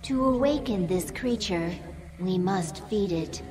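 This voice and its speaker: A woman voicing a loyal, composed alien advisor creature in a video game, formal and measured. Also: mid-range voice, expressive, natural voice. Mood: calm, informative. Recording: loud music behind.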